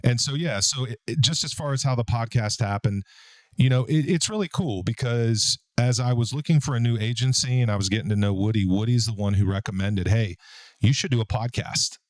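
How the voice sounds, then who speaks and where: A man in his forties with a deep baritone voice, hosting a casual podcast and telling stories in a relaxed way. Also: brisk, no echo. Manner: relaxed, storytelling, frequent fillers. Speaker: podcast host and business owner. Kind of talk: casual conversational podcast talk.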